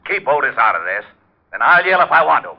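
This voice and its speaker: Exasperated, blustering police lieutenant. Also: male voice, mid-range voice, sing-song, fast, vocal fry. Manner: blustering, grumbling. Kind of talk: 1940s radio drama acting. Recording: dull old-time radio recording.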